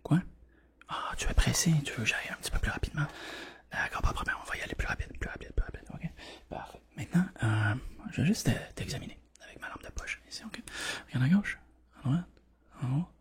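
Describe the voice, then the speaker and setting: A man whispers gently and reassuringly, playing a doctor in an ASMR roleplay, with a breathy voice and softly repeated words. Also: sing-song, fast talker. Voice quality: breathy whisper mixed with soft, creaky low voice.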